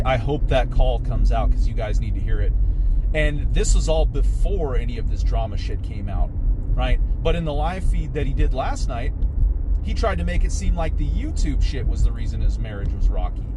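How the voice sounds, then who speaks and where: A man's voice: fairly high pitch, very noisy. A man giving a casual, opinionated commentary rant in a blunt, exasperated way, swearing and often tacking on "right?". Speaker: video commentator.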